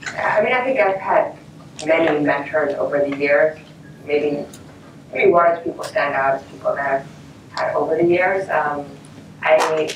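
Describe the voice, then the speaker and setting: A woman's voice: low-pitched, unhurried. A woman panelist speaking conversationally at a conference, with frequent restarts. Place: conference stage. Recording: roomy, distant mic, faint noise.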